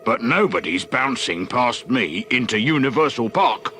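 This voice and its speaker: A man voicing a gruff, suspicious and officious ticket guard in a storybook, speaking bluntly. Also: medium pitch, sing-song, unhurried, bright, forceful tone, soft background music. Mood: grudging, firm.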